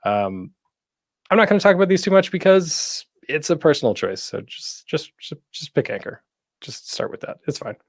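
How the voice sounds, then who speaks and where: Guy hosting a casual how-to panel, really lively pitch, dry close sound, keeps cutting himself off with asides. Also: normal speed, creaky, no room sound. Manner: informal, self-interrupting, tangential asides. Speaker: panel host and presenter. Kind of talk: casual instructional panel talk.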